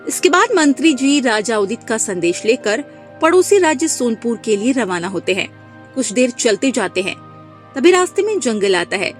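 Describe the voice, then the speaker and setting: A woman narrates a children's cartoon tale from a script. Her voice is on the high side with very expressive pitch movement, over light music.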